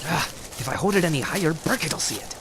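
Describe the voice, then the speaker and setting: An actor playing a jumpy, blustering, slangy tough crook in an old-time radio drama. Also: male voice, a little high, animated, quick pace. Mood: impatient. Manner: slangy, blustering, stammering when rattled. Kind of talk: 1940s radio drama acting. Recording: clear background noise.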